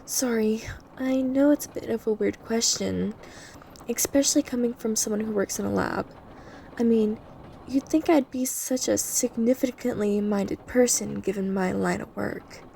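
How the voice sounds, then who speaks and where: A woman playing an obsessive female vampire lab technician in an ASMR roleplay, coy, softly teasing and eerily polite as she explains herself to the listener. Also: fairly high pitch, normal speed, vocal fry, slight background noise, poor audio. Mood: chatty, polite.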